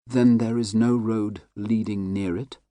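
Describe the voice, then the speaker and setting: A man's voice: on the low side, fairly even intonation, unhurried, warm tone, no echo, close to an everyday voice. Male narrator as genteel young lady in an audiobook: cool, imperious, clipped orders, dry sound. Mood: curious. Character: genteel lady of late twenties, cool and commanding. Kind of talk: audiobook character reading.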